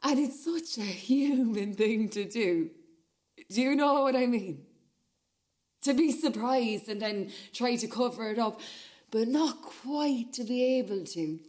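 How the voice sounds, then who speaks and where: A woman's voice: fairly high pitch, animated, measured pace, a little mellow. Actress as a shaken, obsessively repetitive female witness. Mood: absorbed, unsettled. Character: shaken, obsessive witness recounting violent footage. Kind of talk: intense dramatic monologue.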